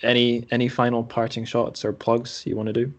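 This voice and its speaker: A man's voice: mid-range voice, completely flat delivery, fairly quick, dry recording. A man hosting a podcast, speaking in conversation or reading aloud. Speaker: podcast host.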